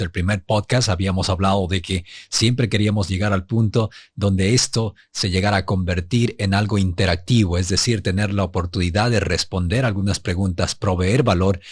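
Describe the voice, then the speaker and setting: A man from Ecuador co-hosts a podcast in neutral Latin American Spanish. He has a low, slightly husky voice and a smooth, broadcaster-style delivery. The sound is dry and close to the mic. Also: fairly even intonation, normal speed, hardly any pauses, dry recording. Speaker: podcast co-host. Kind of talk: polished podcast talk.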